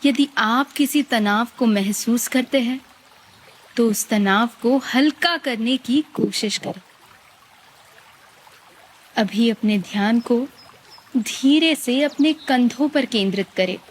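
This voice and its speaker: A woman guides a meditation, giving calm, gentle instructions with long pauses. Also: fairly high pitch.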